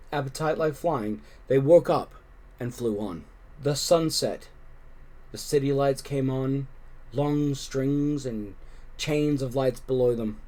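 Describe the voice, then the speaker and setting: A man reading a children's story aloud, expressively and with deliberate phrasing. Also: mid-range voice, warm tone. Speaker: storybook reader.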